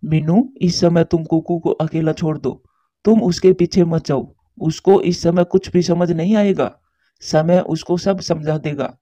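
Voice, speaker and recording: A mellow, dark-toned male voice as a man acts out a grown cartoon bird. He is calm, reassuring and avuncular. The audio is dry and a little muffled. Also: a little high, a little slow, no room sound. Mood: calm, firm. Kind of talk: Hindi cartoon story character voice.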